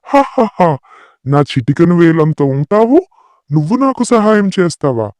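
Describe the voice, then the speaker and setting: A man voicing a vain, boastful lion king in an animated children's story, pompous and bullying. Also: fairly high pitch, a little slow, dry recording. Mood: scornful. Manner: pompous, threatening. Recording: music behind the voice.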